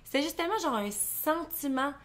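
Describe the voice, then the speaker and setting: A woman's voice: high voice, lively intonation, normal speed, a bit bright. A young woman with a Québécois accent, co-hosting a casual podcast and telling stories in a rambling way full of fillers. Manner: rambling, filler-heavy with "genre". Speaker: podcast co-host. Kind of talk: casual chat.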